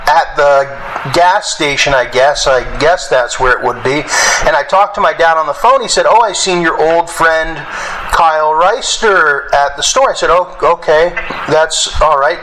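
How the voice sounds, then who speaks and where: A young man making a phone complaint in a halting, rambling, insistent way. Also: fairly high pitch, sharp tone. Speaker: caller recording a phone complaint to police dispatch. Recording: clearly noisy phone call recording.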